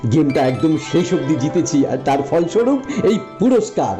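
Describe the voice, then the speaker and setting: A man's voice: fairly high pitch, sing-song, normal speed. A man voicing a young husband in a cartoon who turns greedy and then pleads tearfully. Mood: boastful. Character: young husband turned greedy by golden touch. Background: background music.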